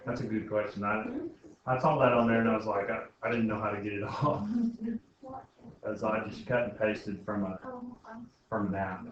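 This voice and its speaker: A man's voice: fairly low pitch, steady tone, normal speed, long pauses. Male math teacher, casual and question-driven, muffled and noisy in a very reverberant classroom. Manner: informal, checks understanding often. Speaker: algebra teacher. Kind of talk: classroom lesson with questions to students. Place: indoor school classroom. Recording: very echoey noisy classroom, distant muffled mic.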